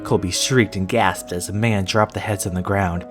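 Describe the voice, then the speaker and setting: A man reading a scripted first-person horror story in a deliberate storytelling manner. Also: low-pitched, fairly even intonation. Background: plucked guitar music.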